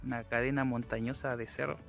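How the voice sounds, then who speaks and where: A man's voice: mid-range voice, little pitch movement, brisk, creaky, fairly bright tone, faint music behind. A man with a Chilean Spanish accent talking casually as an amateur radio operator doing a field test outdoors. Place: outdoors on a hilltop.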